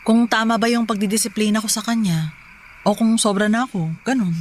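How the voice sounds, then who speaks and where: Woman acting as an earnest, gentle older sister. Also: a little high, steady tone, fairly quick, slight background noise, lightly acted. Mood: earnest, self-doubting. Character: loving older sister, earnest self-doubting young mother. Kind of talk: radio drama dialogue.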